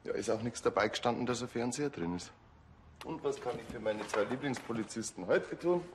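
An actor playing a breezy, cagey workshop owner who deals on the side. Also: male voice, mid-range voice, lively intonation, brisk, a little hiss or hum, close to an everyday voice. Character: breezy, cagey male workshop owner and small-time dealer.

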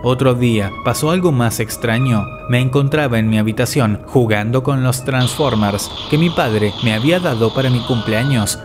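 Male story narrator over music. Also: mid-range voice. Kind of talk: scripted first-person story narration.

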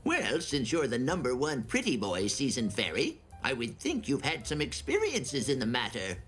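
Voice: medium pitch, wildly swooping pitch, normal speed. Theatrical lovesick old fairy elder. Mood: scheming. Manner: theatrical, flowery speech with emotional outbursts. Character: lovesick, fussy old male fairy elder, pompous yet scheming. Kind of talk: anime dub acting. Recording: loud music under the voice.

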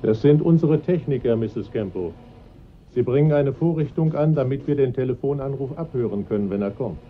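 A composed police inspector in a radio crime play, acted by a man. He has a middle-pitched voice and questions in a formal, measured way. The sound is muffled and lo-fi. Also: animated, slight background noise, natural voice. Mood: businesslike. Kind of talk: German radio crime drama acting.

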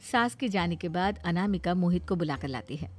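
A woman's voice: on the low side, expressive, normal speed, soft background music. A woman narrating a scripted moral family story for a cartoon.

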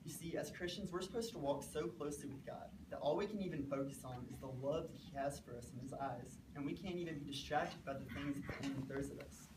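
A man's voice: a little high, background noise. Earnest young man preaching from afar. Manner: earnest, measured, speaks in short phrases. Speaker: student preacher. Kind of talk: short memorized sermon. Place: classroom with a small stage, indoors. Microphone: far camera, no microphone. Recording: echoey room from far off.

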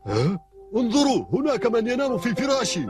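A man playing a kindly but fussy little dwarf in a dubbed cartoon, with expressive delivery. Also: very high voice, brisk, loud music behind the voice. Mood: surprised. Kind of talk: dubbed cartoon character acting.

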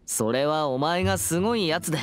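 A man's voice: on the high side, brisk, close to an everyday voice. A man voicing a composed, earnest teenage boy exorcist in an anime game, speaking seriously and politely with a slightly stiff manner. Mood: calm, pondering. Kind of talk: anime game character acting.

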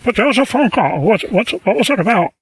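A man's voice: high voice, lively intonation, fast, breathy edge, no echo, heavily acted, low-quality recording. A scambaiter playing a lonely, rambling old man who feigns confusion on the phone.

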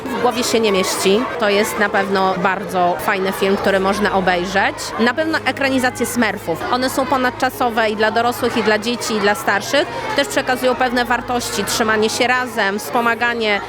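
Female vox pop interviewee, slightly high voice, busy public space with chatter. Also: normal speed. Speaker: parent or teacher interviewee. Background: crowd chatter.

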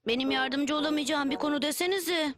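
A woman's voice: high-pitched, fairly quick, a bit bright. A woman voicing an earnest, self-doubting young boy in a children's cartoon. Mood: discouraged. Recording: music behind the voice.

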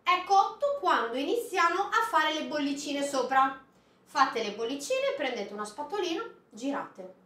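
A woman in her thirties with a high, very animated voice that leaps up and down as she talks. She chats directly to her viewers, asking them questions and handing out recipe tips. Her voice carries a roomy echo from a small indoor set. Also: moderate pace. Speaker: food box presenter. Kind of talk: chatty product unboxing with recipe tips. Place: small indoor studio set with produce crates. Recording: echoey room.

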